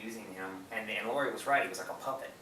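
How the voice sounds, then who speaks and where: A man's voice: on the low side, fast, sharp tone. A man co-hosting a movie podcast, explaining things in a rambling, enthusiastic way during a casual film discussion. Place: echoey room, away from the mic. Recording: roomy, distant mic, faint noise.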